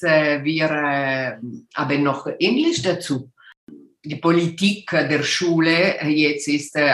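A woman's voice: sits low, a bit bright, roomy sound. A woman in her sixties from Ticino, Italian native, speaking German with an Italian-Swiss accent, hesitant and searching for words as an interview guest. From Ticino, Switzerland. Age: 60s. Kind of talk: casual interview chat.

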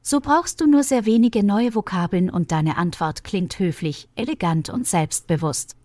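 A woman presenting a language lesson, asking practice questions and giving model answers in a measured, instructive way. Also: medium pitch, expressive, a little mellow, no room sound. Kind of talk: clear scripted lesson delivery.

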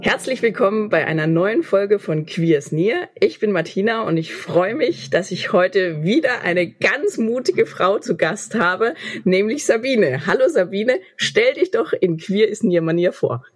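A woman hosting an interview podcast over a video call, chatty and quick to laugh, with frequent restarts. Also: medium pitch, expressive, husky, no echo, clean studio sound. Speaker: podcast host. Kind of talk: casual interview chat.

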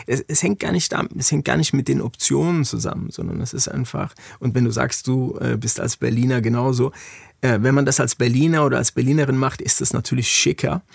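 A man's voice: medium pitch, no room sound, clean studio sound. A man from Frankfurt, a podcast guest, talking reflectively with many hesitations and fillers. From Frankfurt, Germany. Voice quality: gravelly, husky. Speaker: interview guest. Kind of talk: conversational podcast interview.

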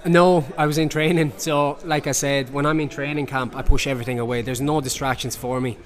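A man's voice: medium pitch, brisk. A young man raised in Ireland after a childhood in Romania, talking energetically in a post-fight interview at a noisy event. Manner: energetic, chatty, repeats words. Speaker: MMA fighter. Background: crowd chatter.

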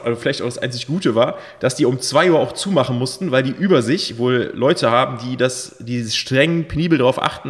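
A hoarse man in his thirties rattles off a long, rambling party story at a rapid clip. His voice carries a slightly croaky edge from the night before. A long reverb tail trails behind him. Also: medium pitch. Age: 30s. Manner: rambling storyteller with many fillers. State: hoarse from drinking. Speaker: podcast co-host. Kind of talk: casual chat.

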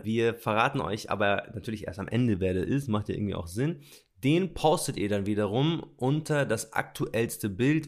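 A man co-hosting a podcast and working as a journalist, talking in a relaxed, conversational way and then narrating from a script. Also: on the low side.

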